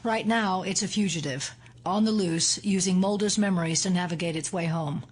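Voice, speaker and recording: An actress playing a resolute, analytical female FBI agent in an audio drama, explaining things seriously and deliberately. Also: on the low side, husky. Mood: grave, analytical.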